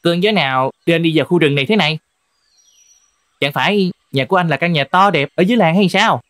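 A woman's voice: on the low side, fast talker, no echo, natural voice. A woman voicing a crafty, friendly fox in a cartoon folk tale, chatty and confident. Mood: curious, sympathetic. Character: crafty, friendly, self-assured fox, loyal helper. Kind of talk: animated folk tale dialogue. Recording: music under the voice.

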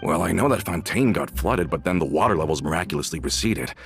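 An actor playing an earnest, loyal member of a gang in a video game, speaking in a questioning, sincere manner. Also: male voice, sits low, expressive, fast talker, vocal fry, soft background music. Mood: curious, friendly. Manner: earnest, questioning, hesitant when shocked. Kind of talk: video game character dialogue.

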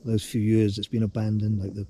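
A man's voice: lowish voice, completely flat delivery, fairly quick, mellow, a little hiss or hum. A middle-aged man chatting casually to his camera as a hiking vlogger, recorded outdoors. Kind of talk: casual video diary chat. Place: outdoors on a trail and at a campsite. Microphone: action camera at arm's length or close by.

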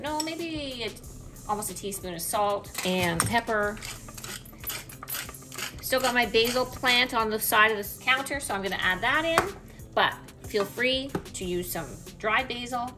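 A woman's voice: on the high side, lively intonation, a little slow. A middle-aged woman chatting casually as she cooks in her home kitchen, thinking aloud while she works. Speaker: cooking vlogger. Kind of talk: casual cooking demonstration. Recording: echoey kitchen with background music. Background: music.

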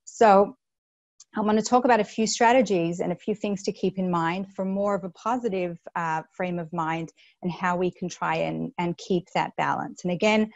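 A woman's voice: on the low side, moderate pace, vocal fry, darkish tone, dry recording, highs cut off. A woman in her thirties with an Australian accent, giving an earnest webinar talk to teachers with many fillers and restarts, on an earphone mic over a video call. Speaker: school educator on a panel. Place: small room indoors.

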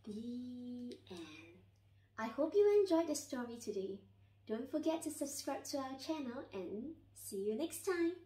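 A young woman presents a children's read-aloud in a high, soft-edged voice. Her storytelling is expressive and deliberate, with very lively pitch. The room adds a noticeable echo. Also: distant mic. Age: young adult. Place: small indoor room with bare wall. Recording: roomy indoor echo.

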